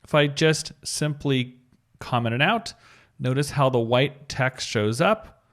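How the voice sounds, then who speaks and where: A man with a mid-pitched voice that moves up and down in an animated way. He presents an informal screencast tutorial, talking through each step as he works and spelling out code terms. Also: slow. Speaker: web design tutorial presenter.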